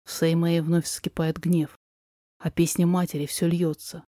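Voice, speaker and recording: A woman narrator reading a poetic story aloud in expressive, measured, dramatic verse. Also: on the low side, dry recording, studio quality.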